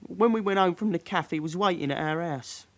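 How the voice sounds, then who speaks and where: An actor playing a frightened, cornered young suspect in a British radio drama, giving short, nervous, deferential answers in a British accent. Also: male voice, high-pitched, a little fast, lightly acted. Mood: reluctant, subdued.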